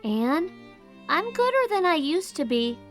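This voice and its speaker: A woman's voice: extremely high pitch, sing-song, a little slow, faint music behind, full character voice. A woman voicing a childlike, earnest, sulky little boy in an audiobook drama, with plain-spoken ungrammatical talk. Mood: proud, confident.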